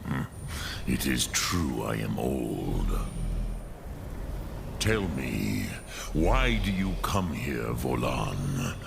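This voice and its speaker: Immensely deep, gravelly ancient dragon. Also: male voice, sing-song, background noise, heavily acted. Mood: grave, patient. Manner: slow, ponderous, ceremonial. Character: ancient wise dragon elder, formal and patient. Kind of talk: video game character acting. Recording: loud music behind the voice. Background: loud music.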